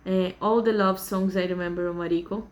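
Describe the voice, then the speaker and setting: A young woman from Brazil with a Brazilian Portuguese accent, chatting casually in a vlog, halting and full of fillers. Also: fairly low pitch, fairly even intonation. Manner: halting and hesitant, many fillers. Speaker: vlogger.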